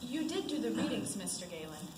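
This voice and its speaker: A woman plays an exacting, sarcastic lecturer on stage. Her voice is slightly high and pointed, in an echoey hall with clear background noise. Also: very animated, a little fast. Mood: sarcastic, lecturing. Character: sarcastic, exacting college forestry teacher lecturing. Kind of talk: amateur stage acting, classroom lecture.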